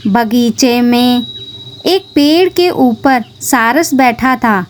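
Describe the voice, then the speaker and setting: A woman's voice: on the high side, animated, extremely slow, a little hiss or hum. A woman narrating a scripted children's story.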